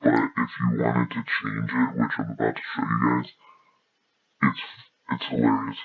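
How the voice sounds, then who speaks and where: A man's voice is software-shifted down to an extremely deep, gravelly growl. He chats casually to viewers, and the processed audio is muffled and narrow, like a phone line. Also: monotone, normal speed. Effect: pitch shifted far down by software. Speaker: tutorial video host.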